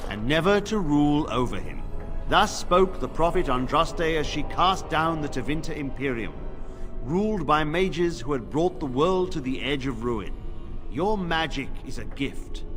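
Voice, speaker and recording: A man's voice: a little high, lively intonation, a little slow. An actor playing a severe male templar commander in a fantasy game, stern, formal and declamatory. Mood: solemn warning. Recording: loud music behind the voice.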